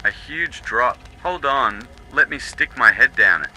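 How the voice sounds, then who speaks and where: A man's voice: mid-range voice, lively intonation, a little slow, pushed and bright, soft background music, low-quality recording. A man playing a cocky, laddish recon worker with a brash, teasing manner in a dramatised audiobook. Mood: amazed, excited. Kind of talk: dramatised audiobook radio dialogue.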